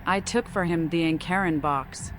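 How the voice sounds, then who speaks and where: Lady doing a crazy riddling vampire girl for a game, deep for a woman, theatrical, music under it. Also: normal speed, a bit bright, natural voice, poor audio. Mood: wry, challenging. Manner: cryptic, theatrical. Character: mad female vampire seer speaking in cryptic riddles. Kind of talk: video game protagonist voice-over.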